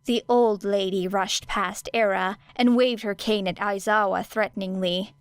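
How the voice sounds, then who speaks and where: A woman's voice: mid-range voice, steady tone, measured pace, clear, resonant tone, no echo. A woman reading scripted story narration as an audiobook narrator.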